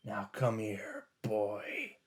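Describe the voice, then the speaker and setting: A man's voice: lowish voice, sing-song, drawn-out words. Man dramatically reading a game aloud. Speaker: let's player. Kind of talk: reading a visual novel aloud, with casual commentary. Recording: faint noise, game ambience behind.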